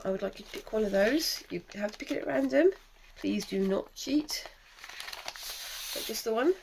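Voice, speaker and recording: A woman in her thirties with a croaky, failing voice, hosting a casual crafting vlog with her young daughter in a chatty, wry way. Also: on the high side, normal speed, a little hiss or hum, rough recording. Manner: chatty, self-mocking, wry. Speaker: channel host, mother.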